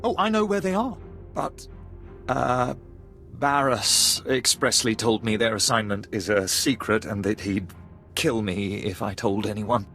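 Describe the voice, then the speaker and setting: A man's voice: fairly low pitch, unhurried, a bit bright, soft background music. An actor playing a cowardly Imperial officer in a sci-fi game, hesitant, deferential and eager to save his skin. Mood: flustered, fearful. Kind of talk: video game voice acting.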